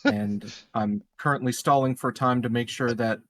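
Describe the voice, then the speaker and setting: A man's voice: mid-range voice, steady tone, normal speed, fairly bright tone. A man playing a tabletop game, improvising casually with joking, mock-boastful, stammering talk.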